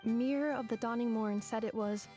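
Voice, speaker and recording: A composed female robot voiced by a woman speaks in a fairly high, measured voice. She is gentle, precise and sincere, a game character over faint music. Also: fairly even intonation, lightly acted. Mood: composed, earnest. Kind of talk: video game character acting.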